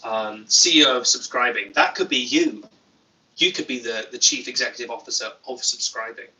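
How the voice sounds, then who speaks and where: A man hosts a relaxed livestream, reading comments with deadpan, playful humor. His voice is very bright and sharp, at a middle pitch, picked up a little away from the mic. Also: moderate pace, recorded from a distance, poor audio. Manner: deadpan, playful, jokey asides. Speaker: YouTuber livestream host.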